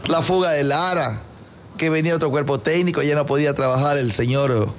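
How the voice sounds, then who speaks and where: Animated-sounding Ecuadorian man with higher-pitched voice. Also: brisk, a little hiss or hum. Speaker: radio show host. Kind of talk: casual banter and informal sports talk.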